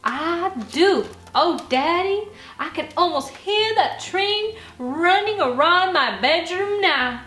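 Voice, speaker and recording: A woman's voice: very high voice, animated, slow pace, pushed and bright, voice pushed up, full character voice. A woman voicing an earnest, eager young boy in a bedtime story, exclaiming in a childlike way. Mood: excited. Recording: roomy sound with faint music behind.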